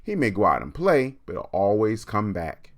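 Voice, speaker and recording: A man's voice: fairly low pitch, sing-song, normal speed. A man voicing a reassuring woman schoolteacher in a storybook, giving instructive advice.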